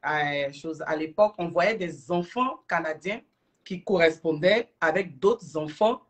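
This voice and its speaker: A middle-aged woman with an Ivorian accent, speaking earnestly and deliberately as a guest in a livestream interview. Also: deep voice. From Ivory Coast. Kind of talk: explanatory interview talk on a livestream.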